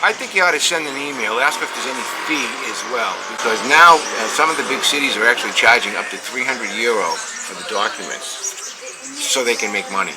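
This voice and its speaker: A man gives practical, matter-of-fact advice to viewers at a middle pitch. Loud outdoor noise surrounds him. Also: a little fast. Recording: noisy outdoor recording.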